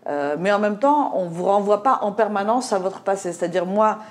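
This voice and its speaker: A woman's voice: mid-range voice, moderate pace. A middle-aged woman from Morocco with a slight Moroccan French accent, speaking in a panel discussion, hesitant with many fillers and restarts. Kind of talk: discussion talk.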